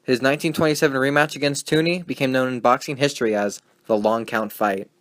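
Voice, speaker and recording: A man's voice: medium pitch, fairly even intonation, fast, a bit bright, close to an everyday voice. Man playing a wisecracking 1920s radio sports host. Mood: enthusiastic. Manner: folksy, slangy, sardonic. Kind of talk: 1920s radio broadcast acting with period slang.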